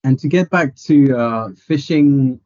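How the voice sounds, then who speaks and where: Male host, slow measured questions, dull audio. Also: mid-range voice, a little mellow. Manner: measured questioning with small restarts. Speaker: podcast host. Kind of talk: interview questions.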